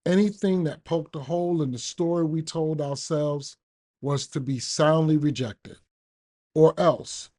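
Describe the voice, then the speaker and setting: A man reading a daily reflection aloud for a recovery podcast, with measured, deliberate phrasing. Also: mid-range voice, dark tone. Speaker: guest reader on a recovery podcast.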